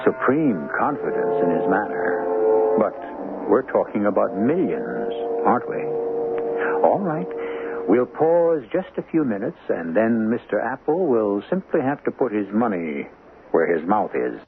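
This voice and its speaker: A man's voice: fairly low pitch, sing-song, unhurried. A man hosting a radio drama with theatrical scripted narration, wry and rhetorical. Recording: very noisy, narrow-band old radio recording.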